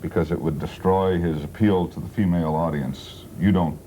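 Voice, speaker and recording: A man's voice: low-pitched, steady tone, normal speed, dark tone. An American man hosting a late-night panel talk show. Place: TV studio. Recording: noisy 1970s TV studio tape.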